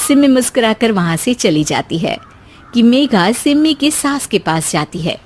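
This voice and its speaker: A woman narrating an animated moral story in a scripted storytelling style. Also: on the high side, normal speed, background noise.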